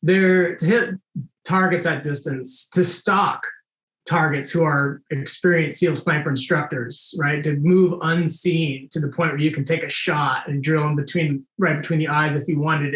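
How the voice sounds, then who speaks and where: A man's voice: fairly high pitch, normal speed, a bit bright, roomy sound, rough recording. A man in his forties, a former Navy SEAL guest, talking in a laid-back way and laughing easily. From United States. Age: 40s. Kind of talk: casual interview chat.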